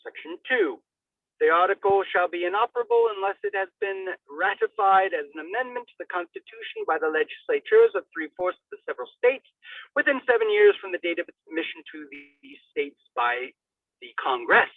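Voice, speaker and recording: A man's voice: high voice, huge pitch swings, fairly quick, creaky, no room sound. A man giving a theatrical, declamatory reading of a formal document, with sudden bursts of emphasis. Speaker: reader of a legal document. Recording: thin phone-like radio sound, distant mic.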